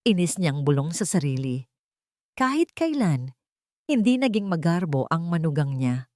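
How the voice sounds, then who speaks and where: A woman narrates a dramatic piece of fiction in a somewhat low voice. Her delivery is deliberate and suspenseful, like a storyteller reading from a script. The sound is dry, with very little room around it. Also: big pitch swings, warm tone, no echo.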